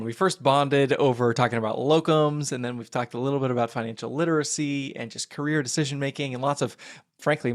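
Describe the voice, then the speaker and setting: A man hosting a podcast interview, asking friendly, curious questions with some fillers. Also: medium pitch. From Philadelphia area. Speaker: podcast host. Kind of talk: casual interview conversation.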